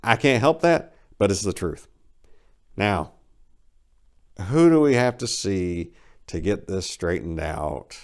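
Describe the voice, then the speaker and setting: A man's voice: deep voice, expressive, slow, pauses often, heavily acted. A man voicing an exasperated, blunt hero of a tall tale, complaining in an emphatic, plain-spoken way. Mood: blunt, resigned.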